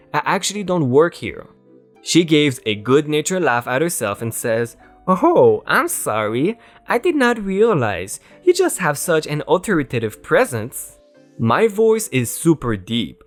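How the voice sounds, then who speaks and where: A man narrating Reddit stories aloud in a lively, sing-song reading voice. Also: medium pitch. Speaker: story narrator.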